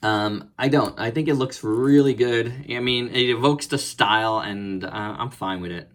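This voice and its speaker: A man hosting a casual, unscripted toy review, thinking aloud in short, halting phrases. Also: medium pitch, a bit bright. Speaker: toy reviewer and channel host. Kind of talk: casual, unscripted hands-on product review commentary.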